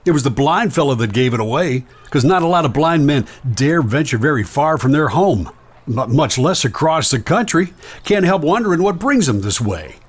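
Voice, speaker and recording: A man's voice: on the high side, lively intonation, moderate pace. An actor playing a deferential, observant henchman in a western audio drama, speaking in a folksy, respectful way. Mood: deferential, curious.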